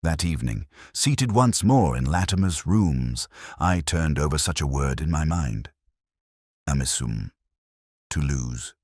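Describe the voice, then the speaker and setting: Male story narrator, extremely deep, solemn and measured yet expressive in pitch, for first-person detective fiction. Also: husky, no echo, studio quality. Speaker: audiobook narrator.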